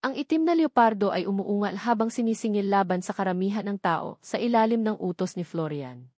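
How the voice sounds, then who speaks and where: A woman's voice: medium pitch, expressive, a little fast, darkish tone, no echo, clean studio sound. A woman reading scripted story narration in the manner of an audiobook. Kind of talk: scripted audiobook-style narration of a web novel.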